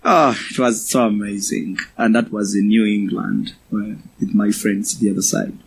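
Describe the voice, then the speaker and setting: A man in his twenties with a Ugandan accent, giving a personal testimony as a guest speaker to a church, in a measured, halting way. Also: fairly low pitch, fairly even intonation, a little hiss or hum. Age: 20s.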